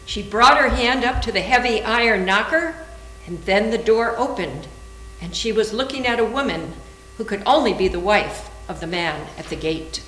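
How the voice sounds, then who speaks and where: A woman's voice: medium pitch, lively intonation, moderate pace, fairly bright tone. A woman reading scripted narration as the narrator of a readers theater performance. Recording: echoey room with faint hum.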